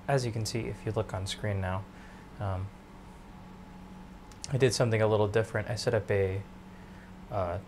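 A young man in his twenties, losing his voice, rambling in a relaxed way as host of his own podcast from his bed at home. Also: lowish voice, slight background noise. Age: 20s. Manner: relaxed, meandering, many fillers. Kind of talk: casual solo podcast monologue.

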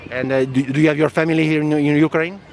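A man with a slightly high voice asks quick questions as a street interviewer. His English is non-native and hesitant, and he speaks into a handheld mic outdoors. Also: steady tone. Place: busy outdoor exhibition plaza. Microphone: handheld reporter microphone.

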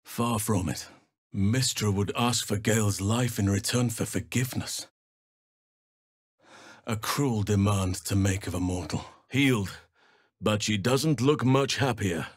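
A man voicing a wise, gentle-hearted druid healer in a video game, speaking in measured, formal, archaic phrasing. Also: lowish voice, slightly rough, no room sound, studio quality. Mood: grave, reflective.

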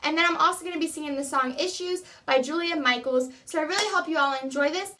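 A woman's voice: high-pitched, quick pace, bright, forceful tone, a little hiss or hum. A young woman in her teens or early twenties talking casually and earnestly to a camera in her bedroom, introducing herself as an amateur singer. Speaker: amateur singer making an audition video. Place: small bedroom indoors.

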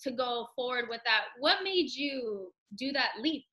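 Bright-voiced young woman host. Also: fairly high pitch, lively intonation, unhurried. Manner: chatty, upbeat, filler-heavy. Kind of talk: casual interview questions. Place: small room at home. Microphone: video call.

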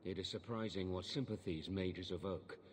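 Very deep, guarded templar officer. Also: male voice, measured pace, long reverb tail, slight background noise. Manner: formal, guarded, authoritative. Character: guarded, authoritative male templar officer, formal and wary. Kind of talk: dramatic game dialogue.

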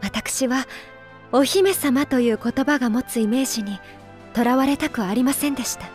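A woman's voice: high-pitched, normal speed, darkish tone, soft background music, lightly acted. A woman playing a dignified young princess in a Japanese video game, speaking politely and formally with earnest resolve. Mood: dignified, resolute. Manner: polite, formal, composed speech.

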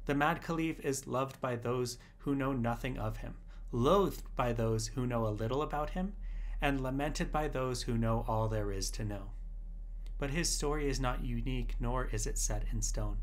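A man reading his own essay aloud as scripted narration. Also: mid-range voice. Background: instrumental music.

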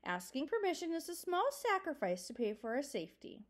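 A woman's voice: sits high, sing-song, brisk, voice pushed up. A woman voicing a small, nervous mother deer mouse who speaks in a sweet, prim way in a storybook reading.

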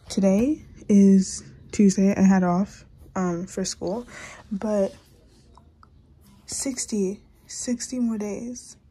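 A young woman vlogging in a casual, excitable, chatty way, sometimes dropping into whispers. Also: mid-range voice, husky. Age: young adult. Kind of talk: casual vlog chat.

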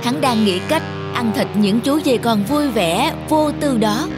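A woman with a slightly high voice narrates a children's fairy tale. She reads as a scripted storyteller, with prominent music behind her. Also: lively intonation, measured pace.

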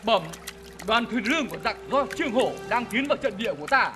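A man's voice: very high-pitched, animated, fast talker, music in the background. An actor playing a deferential soldier in a cartoon, reporting eagerly and formally to his lord. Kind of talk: animated film voice acting.

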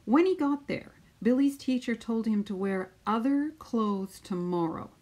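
A woman in her fifties, a teacher, speaking to pupils and reading a children's picture book aloud with deliberate, emphatic phrasing. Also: on the high side, expressive, a little mellow. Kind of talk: children's storybook read-aloud.

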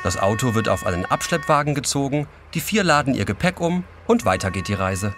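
A man's voice: medium pitch, lively intonation, fairly quick, music in the background. A man narrating a scripted story for children as the narrator.

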